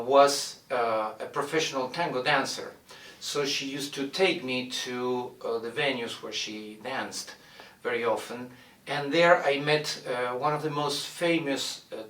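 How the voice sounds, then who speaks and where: A middle-aged man from Argentina, a violin professor introducing his studio in a measured way, with many "uh" pauses as he searches for words. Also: mid-range voice, a bit bright, far from the mic. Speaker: violin professor and orchestra director. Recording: roomy echo, mic set back.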